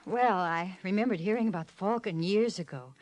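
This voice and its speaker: A woman's voice: medium pitch, fairly quick, darkish tone, close to an everyday voice. An actress playing a nervous, evasive young woman who hesitates and bristles, in an old radio detective drama. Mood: nervous, hesitant. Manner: hesitant, stammering, indignant when pressed. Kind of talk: 1940s radio drama acting.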